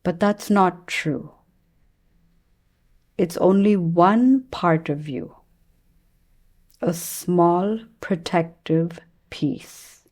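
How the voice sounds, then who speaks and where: Female meditation teacher. Soft-edged warm tone, very slow pacing, gentle and soothing, leaves long pauses. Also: on the low side. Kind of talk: guided meditation.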